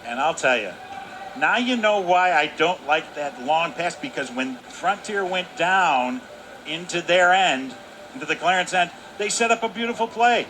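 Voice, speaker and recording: Bright-voiced man giving hockey analysis. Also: fairly high pitch, expressive, poor audio. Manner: folksy explaining, drawn-out emphatic phrases. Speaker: color commentator. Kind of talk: radio hockey color commentary. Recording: arena broadcast with faint noise.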